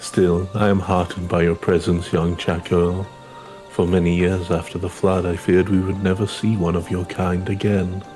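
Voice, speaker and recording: A man plays an ancient woodland elder from a fantasy game. The voice is deep and evenly pitched, gracious and wise, with measured archaic phrasing over faint music. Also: little pitch movement, darkish tone, natural voice. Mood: warm, wistful. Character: ancient, gracious, wise woodland elder of long-lived rabbit-eared folk. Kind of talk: reading video game dialogue in character.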